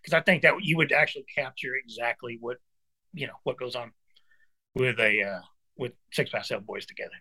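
A man's voice: medium pitch, a little fast, pushed and bright, no echo. A man of fifty, a guest musician chatting casually and earnestly, calling his host brother and dude. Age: 50s. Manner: earnest, encouraging, says brother and dude a lot. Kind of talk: casual chat.

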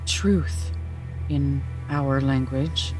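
A woman playing a weary, guarded young queen in a fantasy horror audio drama, measured, deferential and solemn. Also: rumbling low, fairly even intonation, darkish tone, soft background music, full character voice, poor audio.

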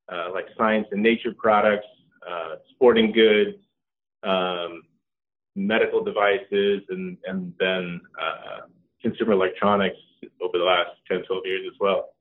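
A middle-aged man, a company co-founder, talking casually in an interview with a relaxed manner and many ums and restarts. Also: on the low side. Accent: Californian. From Norway. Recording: tinny phone-like line.

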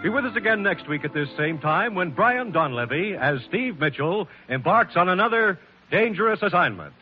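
A man's voice: high voice, lively intonation, moderate pace. A man with an American accent reading a scripted announcement in 1940s radio style. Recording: muffled 1940s radio broadcast recording. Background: music.

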